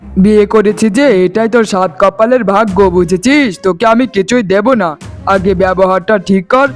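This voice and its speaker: A man voicing a village gentleman in an animated moral tale. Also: high voice, normal speed, soft background music, natural voice. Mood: angry, scornful. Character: men of a landlord tale: messenger and proud sons.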